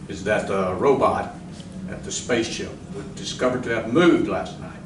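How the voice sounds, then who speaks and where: A man's voice: mid-range voice, close to an everyday voice. A man acting a dutiful army colonel giving formal reports in a live radio drama. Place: library community room, live performance. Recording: echoey community room, distant, noisy.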